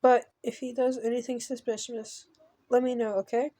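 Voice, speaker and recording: Woman reading uneasy, watchful shop girl, mellow-voiced. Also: a little high, flat delivery, moderate pace, no room sound, close to an everyday voice. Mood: protective. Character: uneasy, watchful young woman shop co-worker, protective friend.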